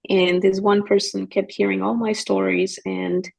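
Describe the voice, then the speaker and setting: Husky, gravelly-voiced woman telling stories. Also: mid-range voice, flat delivery, unhurried, a little mellow. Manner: earnest, storytelling, quotes others in dialogue. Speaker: guest missionary. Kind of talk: podcast conversation.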